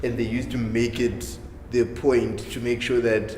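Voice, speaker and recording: A young man talking earnestly in a casual panel discussion, quickening as he tells his stories. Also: mid-range voice, flat delivery, a little mellow, slight background noise. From Africa. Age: young adult. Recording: echoey room with long reverb.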